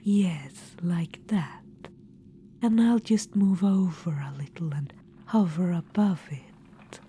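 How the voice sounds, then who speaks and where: A woman voicing an ancient undead witch-queen in an ASMR roleplay. She whispers softly, coaxing and a little condescending, and calls the listener darling. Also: fairly low pitch, slow, slight background noise. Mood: sly.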